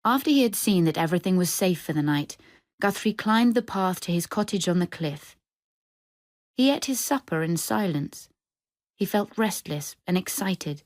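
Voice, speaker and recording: A woman reading literary fiction for radio in a measured, descriptive storytelling manner. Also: fairly low pitch, darkish tone, dry recording, clean studio sound. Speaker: short story reader. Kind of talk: scripted literary narration for radio drama.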